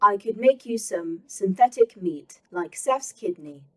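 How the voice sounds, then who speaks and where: A woman's voice: mid-range voice, lively intonation, a little slow, dark tone. A woman voicing a cheerful female A.I. that runs a secret facility, eager to please, heard from a distance.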